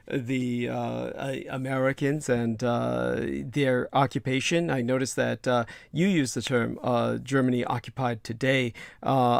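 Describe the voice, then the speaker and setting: Guy doing a long opinion rant on a stream, gravelly fry, lots of uh pauses, mid pitch, dry sound. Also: dry recording. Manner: halting, many drawn-out hesitations; scornful, coarse-tongued. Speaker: livestream host. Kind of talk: rambling opinion monologue.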